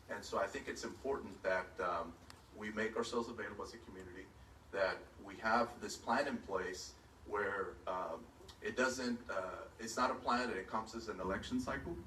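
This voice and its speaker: A male candidate giving a measured, hesitant answer at a forum in a large hall. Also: lowish voice, pushed and bright. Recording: echoey hall from far off, faint hum.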